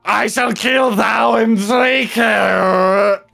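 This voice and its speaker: A woman voicing an evil dark wizard who is angry and commanding, speaking archaically in a grandiose, drawn-out, menacing way. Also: on the high side, vocal fry, faint music behind, full character voice. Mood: menacing rage.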